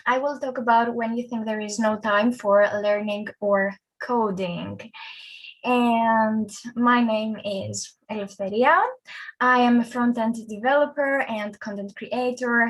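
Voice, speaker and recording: A woman's voice: medium pitch, fairly bright tone, poor audio. A young woman from Greece, a front-end developer, presents a conference talk in a friendly, conversational way with fillers and restarts.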